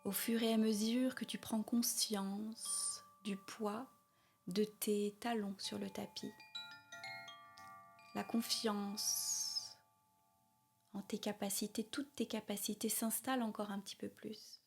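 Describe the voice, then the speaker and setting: A woman guiding a yoga nidra meditation in a soft, breathy, calm and soothing voice, with long pauses and repeated phrasing. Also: medium pitch, faint music behind. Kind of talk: guided meditation, spoken slowly.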